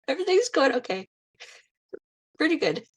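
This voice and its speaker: A woman's voice: high-pitched, sing-song, normal speed, no room sound. An American woman interviewing a band over a video call in an easygoing, giggly way. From United States.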